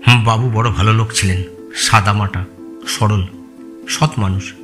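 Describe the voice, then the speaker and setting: A man's voice: on the low side, steady tone, measured pace, natural voice. A man acting a loyal, respectful long-time employee in an audio story, giving plain deferential explanations. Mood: respectful, reminiscing. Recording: music bed behind the voice.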